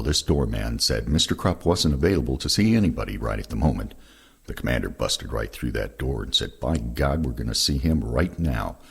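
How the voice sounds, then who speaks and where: An older man with a gravelly, husky voice reading first-person war recollections aloud. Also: a bass voice. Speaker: reader of veterans' oral histories.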